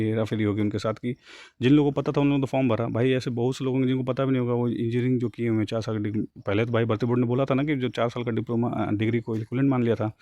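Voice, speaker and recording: A man's voice: medium pitch, mellow, no echo. A man giving an informal, colloquial explainer, mixing in English terms as he reads out and paraphrases a document. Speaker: job news YouTuber.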